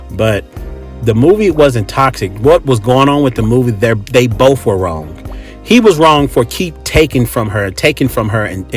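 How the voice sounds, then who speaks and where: A man's voice: medium pitch, slightly rough. An American man hosting a podcast, giving a casual, opinionated monologue in an emphatic, repetitive, slangy way. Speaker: podcast host.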